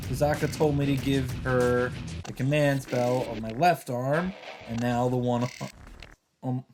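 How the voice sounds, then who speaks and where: Casting: male let's play host, medium pitch, informal dramatic read-aloud with asides, music clearly behind the voice. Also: fairly even intonation, measured pace. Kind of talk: reading visual novel text aloud with asides. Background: visual novel soundtrack.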